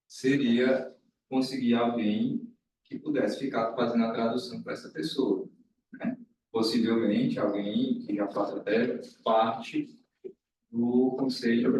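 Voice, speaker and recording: A man's voice: medium pitch, darkish tone. A man with a Brazilian accent explains things informally at a meeting, hesitantly, circling back and often adding tag questions. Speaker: meeting speaker. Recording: echoey room, distant mic, faint noise.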